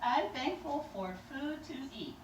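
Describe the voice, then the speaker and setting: A woman's voice: high voice, animated, measured pace. A woman performing a playful home puppet show in a giggly, improvised way. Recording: echoey room, distant mic, loud noise.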